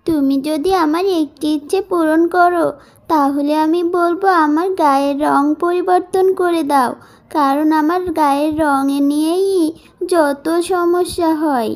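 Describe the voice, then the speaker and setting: A sorrowful young orphan woman in an animated moral story, voiced by a woman. Her high voice is earnest and plaintive, that of someone mistreated. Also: unhurried. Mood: hopeful, earnest.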